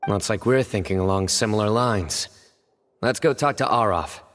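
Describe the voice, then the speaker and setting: A composed young male investigator played by an actor speaks in a deep voice. He is serious, deliberate and deadpan, with formal game-cutscene delivery in a long reverb over some noise. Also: natural voice. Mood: analytical. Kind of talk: video game voice acting.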